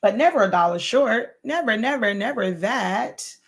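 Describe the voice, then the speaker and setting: A woman hosting a casual livestream chat, hoarse from losing her voice, greeting viewers with lots of laughs and fillers. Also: fairly high pitch, animated, normal speed. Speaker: host.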